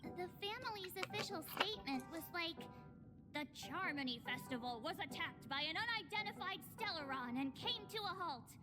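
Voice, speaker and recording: An actress playing a perky, kind-hearted young girl adventurer with expressive, theatrical delivery in a video game. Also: very high-pitched, normal speed. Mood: explaining. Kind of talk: scripted video game dialogue. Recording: game music behind the voice. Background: game music.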